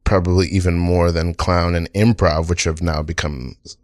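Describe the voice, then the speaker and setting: A man hosting a casual comedy panel chat, talking in a halting, excitable way and often repeating himself. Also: low voice, no room sound, studio quality. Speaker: improv podcast host.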